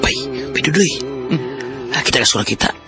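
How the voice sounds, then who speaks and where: A man's voice: medium pitch, expressive, brisk, close to an everyday voice. An actor with a Filipino Cebuano accent playing a chatty, eager male friend in a Bisaya radio drama. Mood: eager, casual. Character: chatty, eager male buddy inviting his friend along. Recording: radio drama with loud music.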